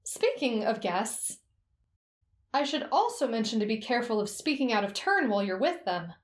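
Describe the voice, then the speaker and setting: A woman's voice: on the high side, big pitch swings, normal speed, echoey room. A woman playing a young etiquette teacher in an ASMR roleplay, instructive and teasing.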